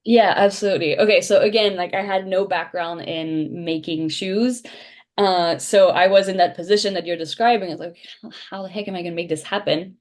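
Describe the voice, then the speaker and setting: A woman whose first language is French speaks in a slightly low voice as a podcast guest. She talks in a chatty, animated way and often breaks into laughter. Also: brisk. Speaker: podcast guest, shoe brand founder. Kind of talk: casual interview chat.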